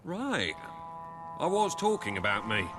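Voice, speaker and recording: An actor playing a nervous, cautious soldier in a video game, with a hesitant, placating manner. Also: male voice, a little high, very animated, a little slow, music in the background. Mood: nervous reluctance. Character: nervous, cautious male soldier making excuses.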